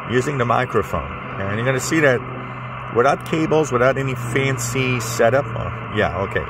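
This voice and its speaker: Low-voiced man with noisy background. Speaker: amateur radio channel host. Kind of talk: casual hands-on demonstration.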